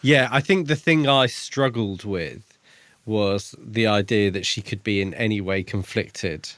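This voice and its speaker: A man with a fairly low voice, recorded dry and close. He chats casually as a podcast co-host, feeling his way thoughtfully through each point with pauses. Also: a bit bright, no room sound. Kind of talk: casual book discussion chat.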